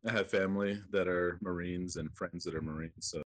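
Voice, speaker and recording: A man's voice: low-pitched, fairly even intonation, fairly quick. A young adult man from Maryland, chatting in a casual, friendly way with frequent fillers. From Maryland, USA. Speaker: guest in a recorded first-meeting conversation.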